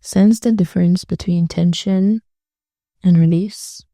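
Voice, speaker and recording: A woman leading a guided meditation in a gentle, soothing way, speaking slowly and leaving long pauses between phrases. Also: on the low side, fairly even intonation, no echo, clean studio sound.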